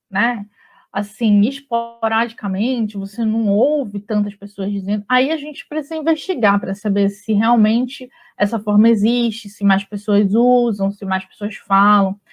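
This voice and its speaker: A woman with a Brazilian Portuguese accent teaching a grammar lesson in a relaxed, chatty way, often checking in with her students. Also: medium pitch. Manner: relaxed and chatty, with frequent tag questions. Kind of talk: conversational online grammar lesson. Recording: slightly dull lo-fi audio.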